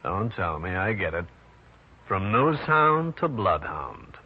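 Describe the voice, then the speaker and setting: An actor with an American accent playing a wry, tough private detective in an old-time radio drama, wisecracking and hard-boiled. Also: male voice, deep voice, sing-song, measured pace, heavily acted. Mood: brisk, wry. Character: wry tough New York private detective, adult man. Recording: dull, noisy old radio recording.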